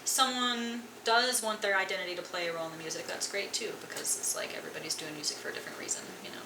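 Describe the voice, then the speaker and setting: A woman's voice: mid-range voice, very fast. Woman, young adult, casual chat, loose rambling, roomy reverb, audible noise. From Colorado, USA. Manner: relaxed, filler-heavy, frequent "like". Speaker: guest musician. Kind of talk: casual interview conversation. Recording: echoey room, distant mic, noisy.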